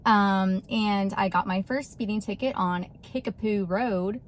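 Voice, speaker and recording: A woman in her thirties from Texas, chatting casually to camera and laughing often between animated bursts. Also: medium pitch, unhurried, slight background noise. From Texas, USA. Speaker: vlogger.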